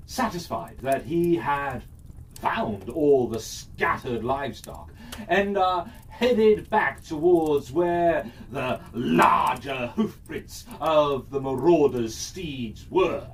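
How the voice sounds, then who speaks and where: A man narrating a scripted children's fairytale as a storyteller, expressive and deliberate. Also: on the high side. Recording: distant mic with faint background noise.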